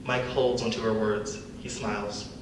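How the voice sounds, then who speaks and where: A man's voice: fairly low pitch, flat delivery, normal speed. A man reading scripted stage directions as a narrator in a live reading. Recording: distant echoey room, clear background noise.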